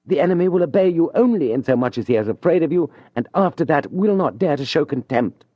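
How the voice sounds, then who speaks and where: A man's voice: fairly high pitch, expressive, fast talker, no room sound, voice pushed up. A man acting out a proud young schemer torn between pride and love. His speech is intense and declamatory, sometimes coolly controlled. The recording is dull and dry. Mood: fierce. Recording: dull dry studio audio.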